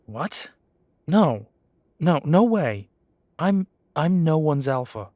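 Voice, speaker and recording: A man's voice: fairly high pitch, sing-song, takes it slowly, a little mellow, no echo, clean studio sound. A man playing a guarded, self-doubting young half-breed werewolf in an audio drama, blunt and defensive. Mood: flustered, denying. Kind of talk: scripted roleplay audio drama.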